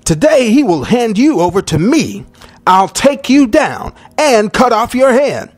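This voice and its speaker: Man playing a brave, high-pitched teenage shepherd boy. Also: sing-song, a little slow, exaggerated voice. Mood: bold, defiant.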